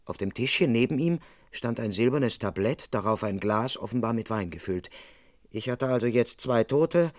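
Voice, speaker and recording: An actor playing a suspicious British constable in a German radio crime drama, deadpan as narrator and brusque when questioning. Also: male voice, mid-range voice, moderate pace, close to an everyday voice. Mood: deadpan narrating. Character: officious, suspicious British police constable, male, narrating his case. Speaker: narrator and lead character.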